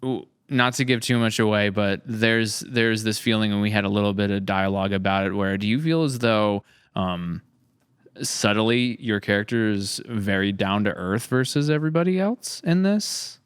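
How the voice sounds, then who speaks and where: A man's voice: on the low side, normal speed, smooth, dry recording, studio quality. A man hosting a casual podcast interview, wordy and thinking aloud as he phrases things. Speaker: podcast host, series creator.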